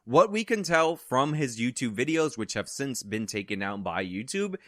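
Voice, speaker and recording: A man's voice: mid-range voice, very animated, moderate pace, fairly bright tone, no room sound, clean studio sound. A man delivering opinionated news commentary in long, wordy, emphatic sentences. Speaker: political commentary YouTuber.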